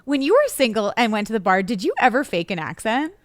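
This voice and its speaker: A woman's voice: a little high, very animated, fast, no room sound, studio quality. A woman co-hosting a podcast, talking in a casual, playful way and peppering her speech with "like.". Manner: chatty, playful, hedges with "like". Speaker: podcast co-host. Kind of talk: casual chat.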